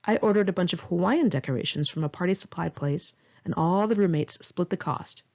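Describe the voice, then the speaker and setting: A woman reads her own memoir aloud in a lowish register with a husky grain. Her narration is conversational and candid, with a wry edge. The recording is a little dull and lo-fi, like a homemade audiobook. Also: fairly quick, darkish tone. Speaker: author reading own memoir.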